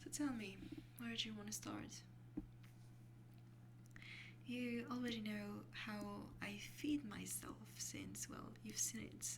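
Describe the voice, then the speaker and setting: Very slow, soft whispery vampire girlfriend, played by a woman. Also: mid-range voice, fairly even intonation. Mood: gentle, instructive. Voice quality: soft, breathy, often dropping to a whisper. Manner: slow, hesitant, intimate, with small breathy laughs. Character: affectionate young female vampire girlfriend mentoring a newly turned lover. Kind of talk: ASMR roleplay. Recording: clearly noisy recording with hum.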